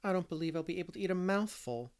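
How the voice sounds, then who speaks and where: Man voicing an earnest young girl, lightly high. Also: animated, moderate pace, a little mellow. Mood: doleful.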